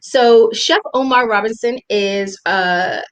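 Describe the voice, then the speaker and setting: A woman's voice: a little high, slow pace, smooth. Earnest woman hosting a video call. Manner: earnest, reflective. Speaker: host. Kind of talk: hosting a live video interview. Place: indoor room at home.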